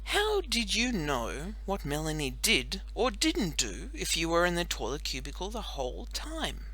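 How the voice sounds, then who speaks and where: A woman's voice: lowish voice, wildly swooping pitch, a little slow, fairly bright tone, slightly rough, heavily acted, rough recording. A woman voicing a bossy young schoolgirl playing lawyer in a children's story, probing and theatrical. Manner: probing, theatrical, self-important.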